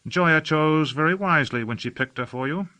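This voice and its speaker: A man's voice: on the high side, moderate pace, pushed and bright, dry recording, pitched above the performer's own voice. A man voicing an airy, languid male citizen who gossips in an affected, lilting drawl.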